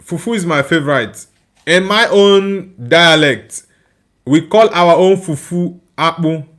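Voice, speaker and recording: A man's voice: fairly high pitch, slow, a bit bright. A young man, hosting a live football watchalong, chatting casually and laughing while he reads viewer comments. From Nigeria. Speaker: watchalong streamer. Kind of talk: casual live match reaction and chat reading.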